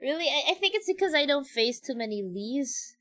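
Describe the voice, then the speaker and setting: A woman's voice: high voice, big pitch swings, brisk, a bit bright. A woman streamer chatting casually and reacting to a game in a giggly, exclaiming way. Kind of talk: casual livestream chat and game commentary. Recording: music behind. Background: game music.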